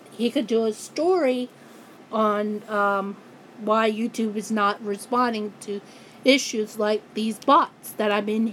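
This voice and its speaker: Angry youtuber woman ranting, voice high and going hoarse, keeps restarting sentences. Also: a little hiss or hum, low-quality recording. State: hoarse, losing her voice. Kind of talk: ranting vlog.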